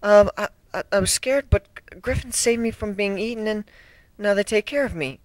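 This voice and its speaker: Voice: medium pitch, moderate pace, dry recording, voice pushed up, lightly acted. A performer voicing a meek, frightened young female griffin with a hesitant stammer for a story. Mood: meek, scared.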